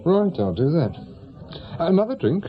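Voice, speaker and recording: A retired British police detective in a radio drama. He questions hesitantly and with understated doubt, his pitch moving freely. The recording is slightly dull. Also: big pitch swings, moderate pace. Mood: doubtful, dry. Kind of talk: naturalistic radio drama acting.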